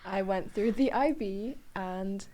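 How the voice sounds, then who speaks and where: Young female podcast member from Korea, average pitch, relaxed conversational introduction. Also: slow, very noisy. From Korea and Dubai. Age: young adult. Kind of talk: casual self-introduction.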